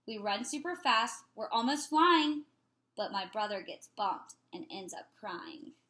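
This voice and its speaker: A woman with a fairly high voice reads a picture book aloud for children. She is expressive and playful, putting emphasis on the rhymes. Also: a little slow.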